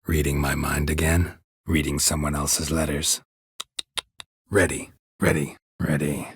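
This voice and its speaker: A man voicing a gruff, world-weary monster hunter in a video game, with a husky rasp and terse, deadpan delivery. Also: a bass voice, measured pace, full character voice. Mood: dry, brooding. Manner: terse, deadpan, clipped phrases. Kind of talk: video game character dialogue.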